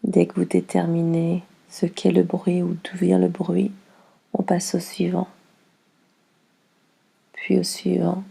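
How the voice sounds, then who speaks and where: A woman guides a relaxation session in a soothing, unhurried way. Her voice is heavily creaky and soft, with a husky breathiness. The delivery stays very even, leaving long pauses between short instructions. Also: lowish voice. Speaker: yoga nidra guide.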